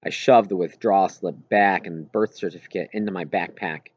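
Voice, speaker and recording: A man's voice: sits low, moderate pace, highs cut off. A man reading a children's novel aloud in first-person narration, as an audiobook reader.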